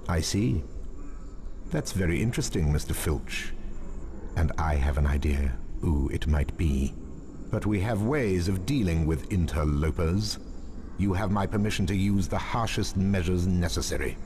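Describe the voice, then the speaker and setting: A cold, scheming professor in a video game, with a very deep, silky voice that drips quiet menace. A hiss sits under the game audio. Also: male voice, expressive. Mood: menacing. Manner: slow, silky, threatening.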